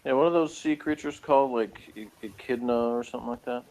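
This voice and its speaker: A man's voice: mid-range voice, fast, a little mellow, poor audio. A man chatting informally as co-host of a review, tossing in loose asides. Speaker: co-host of a sci-fi TV episode review. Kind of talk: casual chat and commentary.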